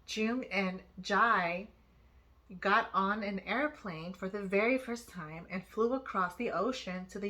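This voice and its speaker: A woman reads a children's book aloud in a bright, clearly projected voice. Her phrasing is expressive and deliberate, aimed at young listeners, at a middle pitch. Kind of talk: storybook narration.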